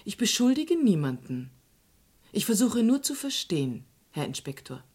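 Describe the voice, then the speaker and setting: Inquisitive young woman sleuth for radio crime play: mid-pitched, composed, direct, self-possessed. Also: big pitch swings, moderate pace, darkish tone, breathy edge. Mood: composed, defiant. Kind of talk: German radio crime drama acting.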